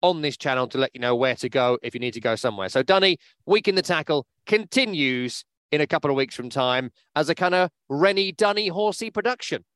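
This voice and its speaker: A man's voice: fairly high pitch, a little fast, few pauses, fairly bright tone, clean tone, dry recording. A man hosting a football podcast, talking in a chatty, upbeat way with many fillers. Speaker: podcast host. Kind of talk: casual podcast chat. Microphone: remote podcast mic.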